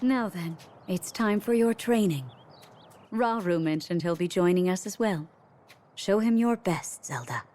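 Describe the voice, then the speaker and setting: A woman playing a composed, regal queen in a fantasy game, speaking in a measured, formal way. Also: fairly low pitch, animated, a little mellow, slight background noise. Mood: encouraging. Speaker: video game character voice. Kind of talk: dramatic game cutscene acting.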